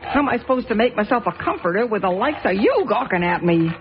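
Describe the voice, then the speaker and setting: A woman's voice: medium pitch, sing-song, fast talker, lightly acted. An actress playing a stubborn, fussy old lady who scolds in folksy old-fashioned talk, in a radio drama. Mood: indignant. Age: older. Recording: dull lo-fi radio recording with faint music.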